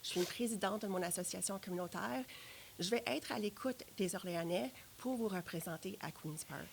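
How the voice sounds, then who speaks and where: Franco-Ontarian woman candidate, measured and earnest, gravelly voice, TV studio. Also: medium pitch, a little hiss or hum. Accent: Franco-Ontarian French. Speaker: election candidate in a debate. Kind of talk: formal debate statements.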